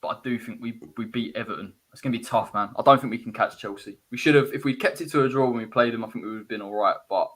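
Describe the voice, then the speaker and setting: Calm, measured male panel guest, mid-pitched. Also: husky. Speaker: panel guest, West Ham fan. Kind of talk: casual online chat.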